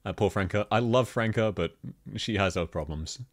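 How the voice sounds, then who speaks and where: A man with a thick Australian accent, chatting casually as he reviews game outfits and laughs often. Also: normal speed. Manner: chatty, laughs often, lively pitch jumps. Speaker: gaming video host. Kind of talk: casual commentary.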